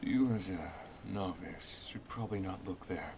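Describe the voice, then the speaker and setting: Man acting as protective wizard mentor, low voice, soft and whispery, affectionate, instructive, intimate ASMR roleplay, noisy ambient recording. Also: normal speed, vocal fry. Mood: solemn, protective. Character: protective, affectionate male wizard master teaching his apprentice and lover. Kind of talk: ASMR roleplay, intimate second-person monologue.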